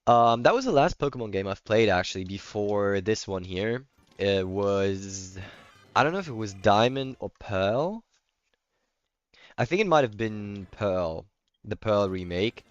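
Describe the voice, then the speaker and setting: A man's voice: lowish voice, animated, a little slow, smooth, no room sound. The guy you would hear on a late gaming livestream, a native German speaker with a German accent answering chat in a clean voice, through a close, slightly muffled mic. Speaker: livestreamer playing a video game. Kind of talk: casual chat, replying to stream comments.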